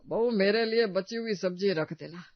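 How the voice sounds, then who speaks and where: An actor playing a mild, kindly old man, a father-in-law in a family story, with a creaky voice and soft reassuring manner. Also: medium pitch, moderate pace, dry recording, highs cut off, close to an everyday voice. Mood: mild refusal. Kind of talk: acted dialogue in a Hindi family story.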